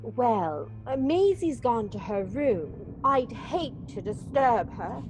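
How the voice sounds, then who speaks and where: Woman playing coy young wife, high voice, very slow hesitant delivery, period radio drama, sweet. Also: big pitch swings, a little mellow, faint music behind, low-quality recording. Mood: sweetly wheedling. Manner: coy, hesitant, drawn-out, wheedling.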